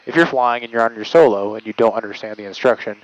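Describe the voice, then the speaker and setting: A man's voice: on the low side, fast talker, muffled recording. A man working as an air traffic controller, giving explanatory interview answers full of aviation jargon.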